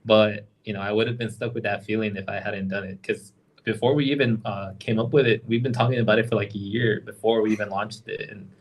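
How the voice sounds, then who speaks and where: An easygoing man with a fairly low voice, chatting as a guest on a casual podcast. He is from Los Angeles and talks through his ideas reflectively, often tagging on "right?" and "you know". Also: steady tone, fast talker, low-quality recording. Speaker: guest game creator.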